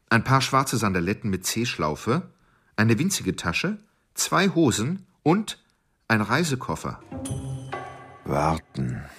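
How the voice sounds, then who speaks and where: An actor playing an obsessive, brooding male killer in a radio drama monologue, halting and intense, often sinking toward a whisper. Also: sits low, exaggerated voice. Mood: cold, restless, then tense, brooding.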